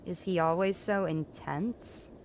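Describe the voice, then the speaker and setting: A woman's voice: fairly low pitch, slow, very noisy. A woman playing a determined, kind young female mountain climber, plucky and inquisitive, in a family audio drama. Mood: friendly, curious.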